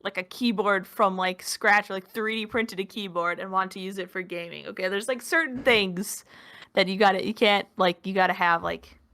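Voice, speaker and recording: A woman's voice: mid-range voice, normal speed, sharp tone. A woman in her early twenties, a podcast co-host, chatting casually with lots of filler words and self-deprecating asides. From Minnesota. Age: 20s. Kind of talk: casual chat.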